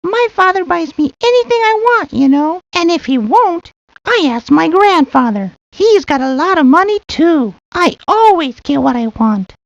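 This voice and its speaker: A woman's voice: extremely high pitch, sing-song, normal speed, no echo, full character voice. A woman voicing a pompous, spoiled nine-year-old rich boy with haughty, formal diction for a video game. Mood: smug. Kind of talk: video game character lines. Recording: dull lo-fi old game audio.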